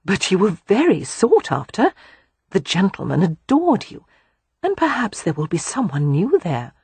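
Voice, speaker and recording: A woman voicing a gentle, caring older sister who speaks softly and kindly. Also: mid-range voice, huge pitch swings, a little slow, slightly rough, no echo, heavily acted. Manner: soft, kindly.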